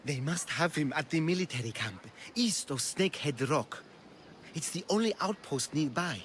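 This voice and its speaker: A man's voice: a little high, animated, moderate pace, fairly bright tone, slight background noise. An actor playing an anxious, sentimental male oil merchant in a video game, with a pleading, effusive delivery. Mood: hesitant, explaining. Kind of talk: dramatic video game dialogue.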